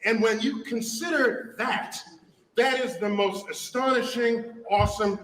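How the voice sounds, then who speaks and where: A man's voice: extremely high pitch, unhurried, fairly bright tone. A man giving an impassioned speech in a large hall, dramatic and emphatic, heard from a distance. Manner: dramatic, emphatic, building delivery. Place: large hall, live event. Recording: echoey hall, distant mic, noisy.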